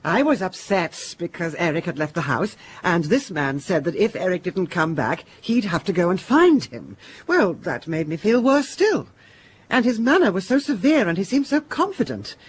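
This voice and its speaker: Casting: middle-aged wealthy matriarch, radio drama, self-justifying, indignant, very expressive pitch. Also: female voice, normal speed, slight background noise. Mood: aggrieved. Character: haughty, defensive middle-aged mother of a wealthy family. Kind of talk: period stage-play radio drama acting.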